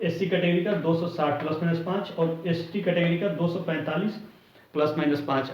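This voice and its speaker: A man's voice: a little high, a little hiss or hum. A man giving an informal explanatory lesson as an exam coaching teacher, often checking in with short tag words. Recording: roomy, distant mic, dull lo-fi sound.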